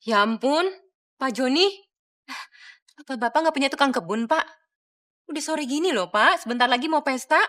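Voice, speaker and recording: An actress playing a fussy, disapproving guest who nags, with an Indonesian accent, in a television drama. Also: female voice, high voice, normal speed, long pauses, fairly bright tone. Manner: nagging, scolding.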